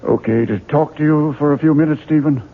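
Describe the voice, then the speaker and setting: An actor playing a hearty, persuasive book publisher in a radio mystery drama, coaxing and insistent. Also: male voice, fairly high pitch, fairly quick, gravelly. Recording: tinny 1970s radio broadcast.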